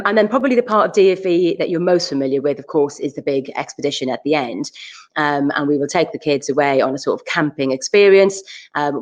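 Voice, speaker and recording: Low-voiced woman from South Wales. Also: low-quality recording. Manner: earnest, emphatic, fillers like "um". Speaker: school staff member. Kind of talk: presenting at a school options evening.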